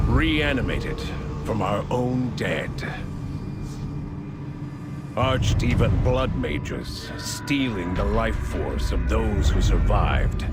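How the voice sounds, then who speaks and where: Grim war-weary leader. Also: male voice, lowish voice. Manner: solemn and measured. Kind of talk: video game cutscene acting. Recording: loud orchestral game score behind.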